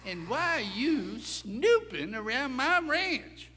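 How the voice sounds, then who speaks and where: A man's voice: very high-pitched, very animated, slow, sharp tone, heavily acted. A man playing a tough, suspicious ranch boss in an Old West radio play, with folksy, emphatic cowboy talk in an echoey hall. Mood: defiant, suspicious. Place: theater stage before a live performance hall. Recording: echoey concert hall, faint noise.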